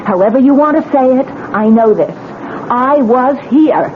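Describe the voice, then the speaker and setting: A shaken young wife pleads slowly, her high voice rising and falling. She sounds near tears yet stubborn. The recording is a thin, tinny old radio broadcast. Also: expressive, slight background noise. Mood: insistent. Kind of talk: 1970s radio drama acting.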